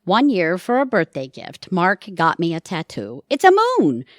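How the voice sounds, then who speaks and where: An actress playing a wry, affectionate, long-suffering middle-aged wife who teases, in an audio theatre comedy. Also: female voice, on the low side, big pitch swings, moderate pace, no echo, clean studio sound. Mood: teasing.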